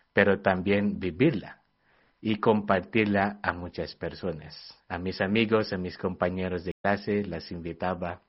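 Filipino-accented young man, low and earnest. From Philippines. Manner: earnest, halting, searching for words. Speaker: missionary guest. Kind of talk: personal testimony in an interview. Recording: dull lo-fi sound.

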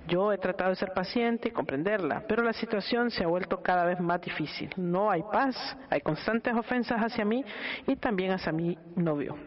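A voice on a radio show reading a listener's email aloud in a steady, measured way. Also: medium pitch. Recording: muffled radio broadcast.

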